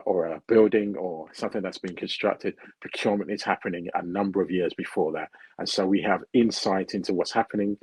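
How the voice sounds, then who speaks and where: A middle-aged British man answering interview questions in a measured way, repeating phrases as he searches for words. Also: deep voice, a little mellow, husky, dry recording, rough recording. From United Kingdom. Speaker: guest, procurement consultant.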